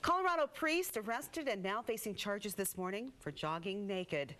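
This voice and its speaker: Female American morning news anchor, medium-pitched, reading scripted stories. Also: expressive, normal speed, a bit bright, a little hiss or hum.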